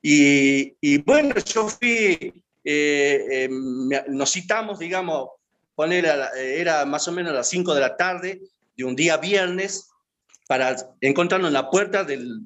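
A man's voice: fairly high pitch, measured pace, a bit bright. Rambling man in his fifties. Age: 50s. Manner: rambling, full of hesitations and filler words. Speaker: guest. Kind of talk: reminiscing interview answers. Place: his home.

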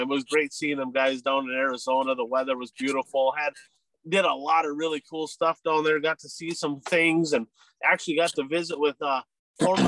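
A man's voice: fairly high pitch, a bit bright, poor audio. Nasal, stuffy-voiced man with a cold. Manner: chatty, upbeat, rambling with restarts. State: head cold. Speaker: podcast host. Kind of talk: casual racing podcast chat.

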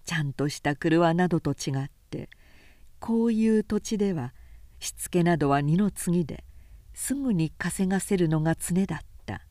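A woman reading literary fiction aloud as a narrator, in a measured, composed and articulate way. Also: fairly low pitch, warm tone, no room sound, studio quality. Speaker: narrator, reader.

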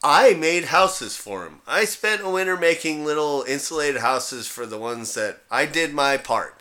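Bright-voiced man bantering on a podcast. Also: on the high side, lively intonation, a little slow. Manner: blunt, salty, joking. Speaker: podcast co-host. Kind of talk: casual comic banter and rambling anecdotes.

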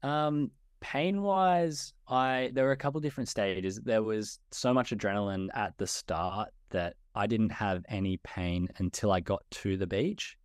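A young Australian man, a guest in a podcast interview, speaking in a relaxed way. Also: sits low, smooth, dry recording, studio quality. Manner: relaxed, many fillers and restarts. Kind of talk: conversational interview.

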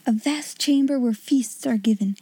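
An imaginative young girl, voiced by a woman reader, talks in a high, mellow voice. Her manner is dreamy and grandly polite, like a storybook child playing princess. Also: normal speed, slightly rough, no room sound. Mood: dreamy, pleased.

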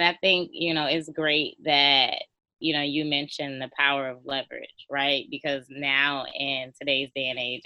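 A woman's voice: rumbling low, bright, forceful tone, no room sound, muffled recording. A woman guest-hosting a podcast interview, encouraging and talking in long run-on thoughts. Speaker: guest host.

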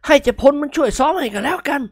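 A reader voicing a gruff, pompous old nobleman who barks and scolds in an audiobook. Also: high-pitched, animated, normal speed, dry recording, clean studio sound, pitched above the performer's own voice. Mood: exasperated. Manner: barking, blustery scolding. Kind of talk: audiobook character voice.

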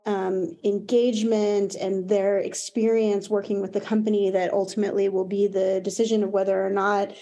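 A woman's voice: medium pitch, flat delivery, normal speed, hardly any pauses, warm tone. A woman with an American accent speaking conversationally as an executive panelist in an online discussion. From United States.

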